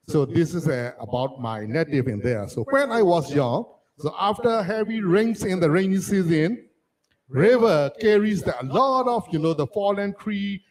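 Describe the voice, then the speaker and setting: A man from Myanmar whose first language is Arakanese, telling a childhood story as a panelist in halting, restarting English. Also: on the high side, darkish tone, rough recording. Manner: halting, restarts sentences, non-native phrasing. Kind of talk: personal story at a panel discussion.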